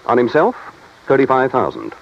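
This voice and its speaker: An actor playing a matter-of-fact insurance man who explains things briskly in an old-time radio drama. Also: medium pitch, wildly swooping pitch, gravelly, a little hiss or hum. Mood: businesslike. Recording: dull vintage radio drama recording.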